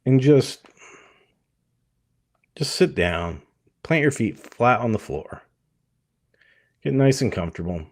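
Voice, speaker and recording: A man leading a guided hypnosis meditation, speaking gently and soothingly in short phrases with many pauses. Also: medium pitch, animated, no echo, studio quality.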